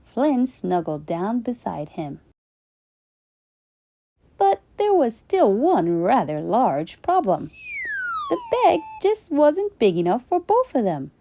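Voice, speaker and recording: Gentle, expressive bedtime storyteller woman. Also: fairly high pitch, slow, long pauses. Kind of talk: children's bedtime story read-aloud.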